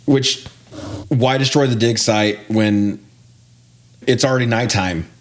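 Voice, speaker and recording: A man's voice: medium pitch, takes it slowly. A young man talks casually as a podcast co-host. He recaps a film step by step and nitpicks it in a wry, exasperated way, cursing freely as he goes.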